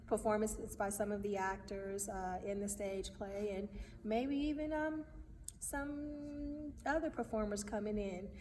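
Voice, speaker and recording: A woman chatting casually in an interview as a playwright, with an animated, laughing manner. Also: mid-range voice, unhurried. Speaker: playwright interviewed about her stage play. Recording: echoey hall, distant mic, faint noise.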